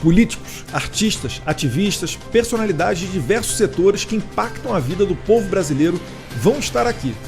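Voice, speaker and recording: A man's voice: high-pitched, animated, fairly quick, gravelly, over music. A man hosting an interview show, asking questions with friendly, joking interjections. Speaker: host.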